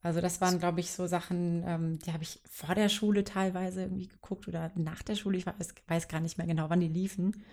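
Low-voiced young woman, chatty. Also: level tone, a little fast, few pauses, darkish tone. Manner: chatty, filler-heavy. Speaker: podcast co-host. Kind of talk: casual chat.

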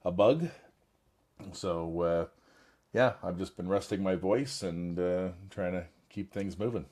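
A raspy, hoarse man getting over a bug, talking casually on a group business call and pausing often. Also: low-pitched, darkish tone. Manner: halting, many fillers and restarts. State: hoarse, recovering from illness.

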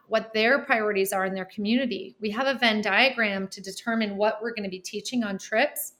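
A woman's voice: fairly high pitch, a bit bright. A woman in her forties, a podcast guest speaking animatedly, laughing often and acting out little quotes. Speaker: guest, nonprofit founder. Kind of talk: podcast interview.

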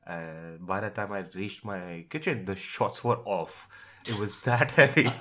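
A man's voice: on the low side, measured pace, over a noisy crowd. A man on a tech podcast panel, giving casual, conversational opinions with personal anecdotes. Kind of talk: casual chat, reviewing gadgets.

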